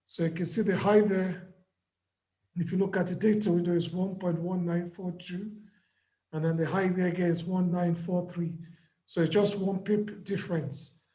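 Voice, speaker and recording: Hoarse man teaching live trading. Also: high-pitched, fairly even intonation, moderate pace, dark tone, reverberant. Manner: patient, repeats key points. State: hoarse, lost voice. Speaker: trading mentor.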